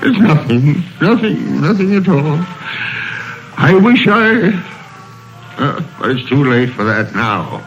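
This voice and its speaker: Old miser, remorseful and gasping, wide swoops of pitch over music, thirties radio style. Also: male voice, very animated, slow. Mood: remorseful, tearful. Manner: stammering, gasping, agitated, theatrical. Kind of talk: 1930s radio drama acting. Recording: dull old 1930s radio, music behind.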